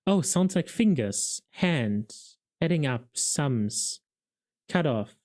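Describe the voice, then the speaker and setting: A man's voice: a little high, lively intonation, slow pace, darkish tone. A man voicing an earnest, naive young male city watchman, eagerly puzzling out guesses. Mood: eager guessing.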